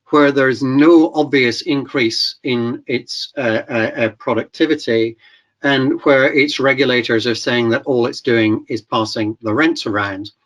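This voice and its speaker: A man's voice: mid-range voice, a bit bright. A Scottish man talks through his slides in a webinar. His delivery is hesitant, full of "uh"s and repeated starts as he works toward each point. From Scotland. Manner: hesitant, many fillers and restarts. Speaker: webinar speaker. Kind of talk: presentation with slides. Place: video call webinar.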